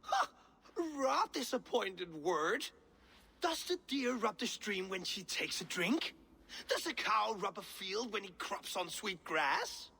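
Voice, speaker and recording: A man's voice: sits high, sing-song, slow, creaky, sharp tone, slightly rough, full character voice, rough recording. An actor playing a flamboyant, self-important male Norse bard in a video game, speaking in a florid, grandiose way. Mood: indignant, haughty. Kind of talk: dramatic video game acting.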